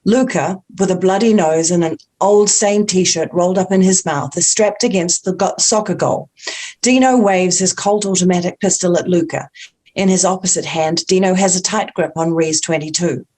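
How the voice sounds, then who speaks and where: A woman's voice: lowish voice, fairly even intonation, moderate pace, darkish tone. A woman reading scene descriptions aloud as scripted narration in a screenplay table read. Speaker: stage-direction narrator.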